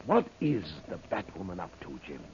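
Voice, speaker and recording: Deep-voiced, fervent older reverend. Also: male voice, wildly swooping pitch, normal speed, full character voice. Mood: fervent, grateful. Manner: solemn, fervent, formal. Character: pious, earnest older reverend, a devoted father. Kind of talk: 1930s radio serial acting. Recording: muffled, noisy old radio recording.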